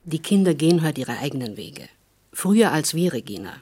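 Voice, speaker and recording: An actress playing a motherly, resolute middle-aged woman, earnest and measured, in a German radio play. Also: low voice, very animated, darkish tone, husky, heavily acted. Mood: earnest, urging. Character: motherly, resolute childless older neighbour woman, former prisoner.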